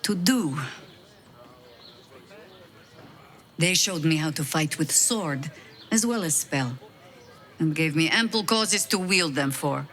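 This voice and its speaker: A woman's voice: deep voice, expressive, a bit bright. A wry, weary veteran adventurer woman. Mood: reflective, candid. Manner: wry, measured, self-deprecating. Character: weary, wry veteran high-ranking woman harper agent. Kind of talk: video game dialogue acting, reflective storytelling.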